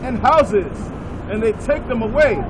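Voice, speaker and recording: A man reading scripture verses aloud outdoors, plain and steady. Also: very high-pitched, measured pace. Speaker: scripture reader. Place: outdoors on a city street. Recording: loud traffic and street noise.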